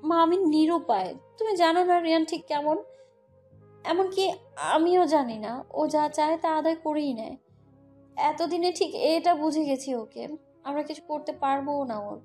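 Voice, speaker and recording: Woman as helpless young mother, submissive, pleading, high pitch, audio drama, light music. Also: a little slow, a little mellow. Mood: resigned, worried. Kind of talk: romantic audio story acting.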